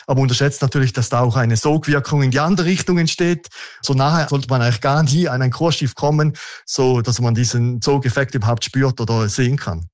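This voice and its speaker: A man calmly explaining a matter in a short news sound bite. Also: mid-range voice, brisk, no echo, clean studio sound. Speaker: interviewed expert.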